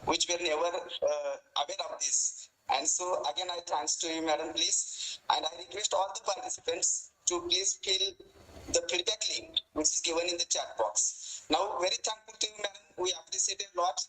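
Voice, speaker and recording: A man giving a formal vote of thanks on a video call, halting and full of honorifics. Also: high voice, bright, forceful tone, rough recording.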